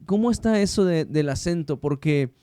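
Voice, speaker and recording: Mellow, smooth-voiced podcast host. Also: male voice, fairly high pitch, expressive, moderate pace, no room sound, clean studio sound. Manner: chatty, slangy, many restarts. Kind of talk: casual podcast conversation.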